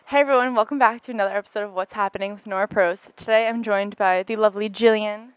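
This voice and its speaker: Quick-talking bubbly college girl hosting a campus interview, super gushy. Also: mid-range voice, fairly even intonation, faint music behind, low-quality recording. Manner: bubbly, gushing. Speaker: student TV segment host.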